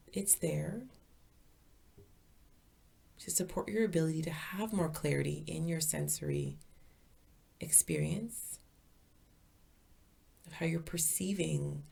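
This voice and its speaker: Woman doing guided meditation, soothing n calm, mellow dark tone, husky and breathy. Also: low-pitched. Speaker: meditation teacher.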